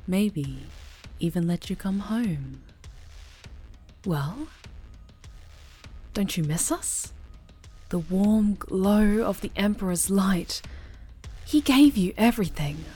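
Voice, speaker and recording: A woman playing a zealous, bitter loyalist wounded by betrayal in an audio drama, speaking with measured, reproachful menace. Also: mid-range voice, animated, mellow. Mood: bitter, coaxing menace. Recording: music under the voice.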